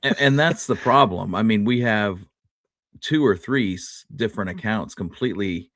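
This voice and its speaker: A man's voice: on the low side, normal speed, a bit bright, no echo, clean studio sound. A man co-hosting a history talk show, explaining and reasoning through points in a conversational way. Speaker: podcast co-host.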